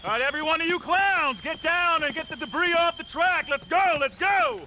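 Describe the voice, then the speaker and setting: Gruff army sergeant, barking, coarse, impatient, game audio with background noise. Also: male voice, very high-pitched, normal speed. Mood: barking orders. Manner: barked commands, coarse and impatient. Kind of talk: video game battle dialogue.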